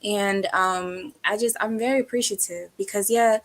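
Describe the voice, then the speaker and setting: A woman's voice: medium pitch, steady tone, normal speed. A woman, an actress guest on a talk show, answering earnestly and rambling with many fillers. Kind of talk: casual interview answer.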